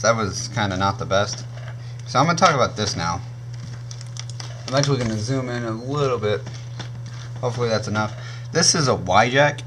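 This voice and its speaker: A young man with a naturally deep voice, casually reviewing gear on his channel and often stopping to correct himself. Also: fairly bright tone, a little hiss or hum. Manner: rambling, self-correcting, frequent um and uh.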